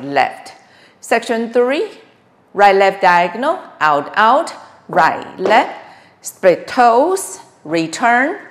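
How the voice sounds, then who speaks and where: A middle-aged woman instructing a line dance step by step, calling out moves and counts in short, clipped phrases. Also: fairly low pitch. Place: small bare room with wooden floor.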